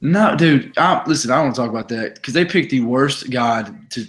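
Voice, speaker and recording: A young man with a raspy voice hosts a laid-back sports podcast. He talks with energy and chats freely, with plenty of fillers. His pitch sits in the middle for a man. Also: fairly quick. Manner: energetic, chatty storytelling with many fillers. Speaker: podcast host. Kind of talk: casual sports podcast chat.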